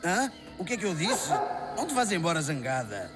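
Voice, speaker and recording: A man's voice: high voice, huge pitch swings, a little fast, loud music behind the voice. A man with a European Portuguese accent playing a grouchy, world-weary street-corner local in a comic dub, muttering complaints. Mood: peeved.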